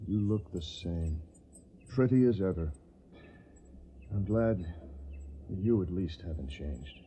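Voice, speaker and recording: An actor playing a gentle, idealistic young Yankee soldier in a radio drama, soft-spoken and earnest. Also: male voice, low voice, animated, slow pace. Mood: tender. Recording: muffled radio recording, background noise.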